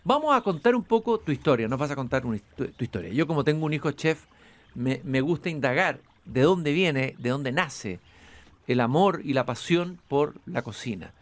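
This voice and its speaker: A man's voice: fairly high pitch, animated, normal speed. A man hosting an interview outdoors in a garden, hesitant and repeating himself, with easy laughs. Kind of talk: conversational interview.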